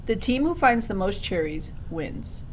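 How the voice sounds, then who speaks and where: Gleeful, brisk schoolteacher, as a woman reads her. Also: very animated, a little mellow. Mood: teasing. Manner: emphatic, brisk. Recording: low rumbling background noise.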